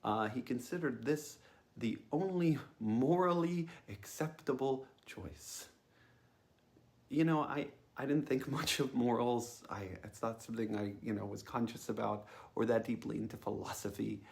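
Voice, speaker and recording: A young man telling a personal story informally, in a halting, hesitant way with frequent ums and a wry, self-aware tone. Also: medium pitch, vocal fry, darkish tone.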